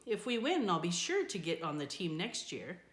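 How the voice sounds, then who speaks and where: A woman voicing an eager, excitable and stubborn young girl in a storybook. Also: on the low side, moderate pace. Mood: excited. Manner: eager and impatient. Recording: echoey room.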